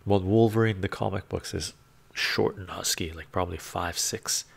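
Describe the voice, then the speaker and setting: Gravelly deep-voiced man on a live stream. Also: fairly even intonation, normal speed. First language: Romanian. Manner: rambling, chatty, with frequent "right?" asides. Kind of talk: casual live-stream chat, reading comments. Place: home room full of bookshelves. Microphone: lapel mic.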